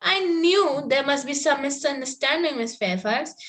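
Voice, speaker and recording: A woman playing a sweetly barbed young Victorian girl in an amateur table read, polite and slightly halting. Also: sits high, expressive, fairly bright tone. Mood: polite, pointed.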